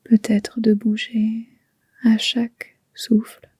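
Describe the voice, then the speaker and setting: Slow, breathy near-whispering woman guiding relaxation. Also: on the high side, completely flat delivery, no room sound. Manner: slow, gentle, soothing, unhurried with long pauses. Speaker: yoga nidra guide. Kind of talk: guided relaxation meditation.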